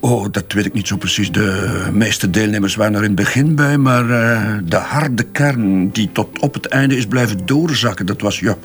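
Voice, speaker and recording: A man's voice: on the low side, normal speed, few pauses, soft background music, lightly acted. A man with a Flemish accent playing a defensive advertising boss under questioning in a crime drama, hesitant and evasive, often backtracking. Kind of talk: radio crime drama dialogue.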